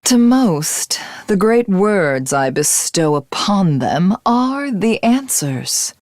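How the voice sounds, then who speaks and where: A woman's voice: mid-range voice, big pitch swings, slow pace, darkish tone, dry recording, clean studio sound. A woman voicing an imperious female alien warlord who deems herself a god in a video game, with a haughty, formal, theatrical delivery. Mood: haughty, stern. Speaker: voice actress. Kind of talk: English video game character voice lines.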